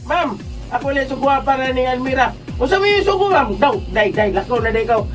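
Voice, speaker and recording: A man playing a cheeky, flattering household servant in a comic radio drama, with a Bisaya accent and a rambling, teasing manner. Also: very high-pitched, a little fast, soft background music. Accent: Filipino, Bisaya.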